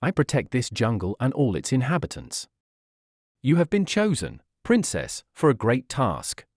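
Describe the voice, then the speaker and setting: Storybook guardian creature, read by a man: benevolent, solemn, gentle but powerful, melodic intonation, dry close sound. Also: medium pitch, huge pitch swings, normal speed, dry recording. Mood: solemn welcome. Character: gentle, wise, powerful magical beast guarding the jungle. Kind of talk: children's storybook character.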